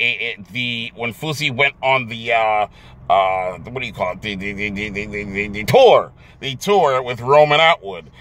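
Casting: American man, thirties, medium-pitched voice, casual YouTube commentary to camera, halting, emphatic delivery with repeated words. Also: sharp tone. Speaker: YouTube vlogger. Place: inside a car in daylight. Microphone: phone or camera at arm's length.

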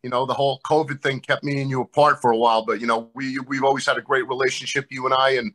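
A man's voice: medium pitch, little pitch movement, fast, pushed and bright. A middle-aged man, a co-host and former wrestler, chatting laid-back on a video call and often tacking on "man". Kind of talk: casual chat on a video call.

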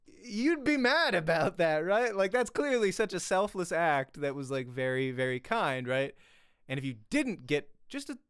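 A man, teaching an informal acting lesson in an animated, conversational way, often checking in with "right?". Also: on the high side, clean tone, no room sound, clean studio sound. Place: small home room, indoors. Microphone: close desk microphone, headset on.

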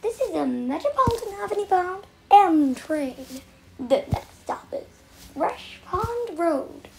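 A woman reading scripted transit announcements in clipped, formulaic, clearly separated phrases. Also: extremely high pitch, sing-song, slow pace. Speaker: train announcer. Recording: noisy recorded train announcements.

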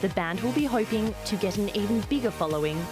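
A woman reporter reading a scripted news package voice-over in a polished, measured way. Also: mid-range voice, animated, loud music behind the voice.

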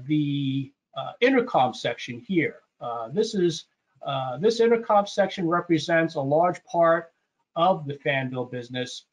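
A man hosting a product webinar, explaining slides in an informal, conversational way and often repeating his phrases. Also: on the high side. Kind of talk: informal slide presentation to an online audience. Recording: dull, muffled webinar audio.

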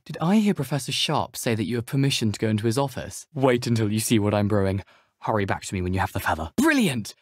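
A man's voice: mid-range voice, no echo, clean studio sound. A young man with a British accent playing an eager, cheeky teenage wizard schoolboy in a video game, chatty, persuasive and self-assured. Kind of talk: video game character dialogue.

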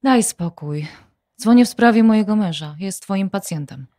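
An actress playing a desperate, irritated wife confronting a former lover in a radio drama, curt and exasperated. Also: female voice, mid-range voice, animated, moderate pace, dark tone, no echo, clean studio sound, close to an everyday voice. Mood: formal, tense.

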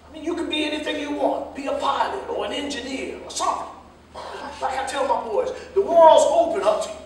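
A man's voice: extremely high pitch, fairly quick, darkish tone. A man acting an older, fatherly American jitney driver in a staged play reading, with a folksy, emphatic, lecturing way of talking. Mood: earnest, advising. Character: older railroad pensioner jitney driver, fatherly, plain-spoken adviser. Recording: distant mic, echoey hall, faint noise.

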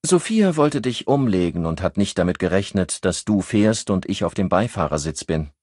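A man voicing a calm, tough woman doctor who answers in short, matter-of-fact lines. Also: deep voice, moderate pace, slightly rough, no room sound, studio quality, voice pushed up.

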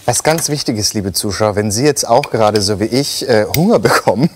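A man's voice: lowish voice, expressive, fairly quick, a little hiss or hum. A man in his thirties presenting a TV cooking segment, chatty and enthusiastic, asking the chef questions in a studio kitchen. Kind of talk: cheerful TV show presenting.

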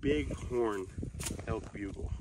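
A young man giving a casual, halting product review to camera as a vlog host, recorded outdoors at some distance from the camera. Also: lowish voice, background noise. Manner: halting, unscripted delivery. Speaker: video host, hunter reviewing gear. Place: outdoors on an open grassy hillside. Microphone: camera a few metres away.

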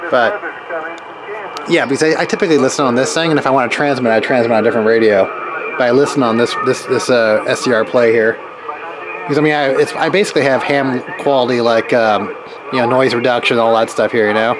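A man's voice: medium pitch, quick pace. A man thinking aloud in a casual, rambling hands-on demonstration, recorded on a handheld camera in a garage workshop. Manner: rambling, informal. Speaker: radio repair hobbyist reviewing gear. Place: cluttered garage workshop with open door. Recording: noisy workshop with radio hiss and hum. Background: radio static and hum, ambient noise.

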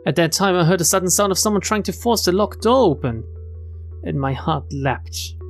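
A woman's voice: on the low side, lively intonation, normal speed. Woman reading a visual novel over music. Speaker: streamer reading game text aloud. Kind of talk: expressive visual novel read-aloud. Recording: game music behind the voice.

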